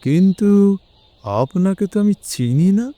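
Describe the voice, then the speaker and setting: A wary middle-aged gentleman, acted by a man, talks slowly in a very dark, soft timbre. He sounds suspicious and hesitant, a frightened figure in a mystery radio play, recorded close and dry. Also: high-pitched, animated, dry recording. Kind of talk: Bengali audio drama acting.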